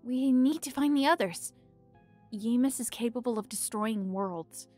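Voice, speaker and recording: A woman's voice: fairly high pitch, a little slow, a little mellow, faint music behind. A woman voicing an unsure but determined young heroine who hesitates and stammers, in a fan audio drama. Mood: earnest.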